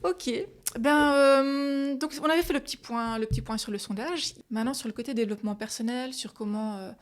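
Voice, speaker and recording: Woman podcast host in her thirties, quick and chatty, fairly high voice. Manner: fast, chatty, rambling questions. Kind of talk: casual interview chat.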